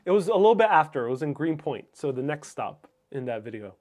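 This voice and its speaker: A man with a slightly high voice hosts a casual livestream chat. He is a native Spanish speaker who thinks aloud, pausing and musing as he responds to viewers' comments. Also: very animated, a little mellow. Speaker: livestream host.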